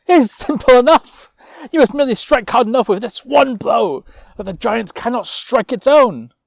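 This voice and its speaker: Man doing a high-pitched, brash knight. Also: expressive, moderate pace, vocal fry, no room sound, exaggerated voice. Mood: brash confidence. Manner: high, loud, raised voice. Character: outspoken knight, brashly confident.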